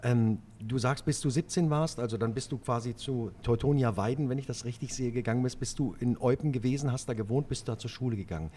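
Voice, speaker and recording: A man hosts a club podcast interview with a mellow, darker tone and an enthusiastic manner. He stumbles and repeats words as he talks, with faint noise in the background. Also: mid-range voice, quick pace, hardly any pauses. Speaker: podcast host.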